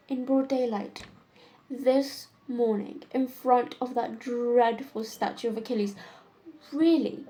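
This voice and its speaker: A young woman playing a witty, fussy upper-class society lady in a stage comedy monologue, deliberate and complaining. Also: sits high, mellow, rough recording. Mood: haughty, amused.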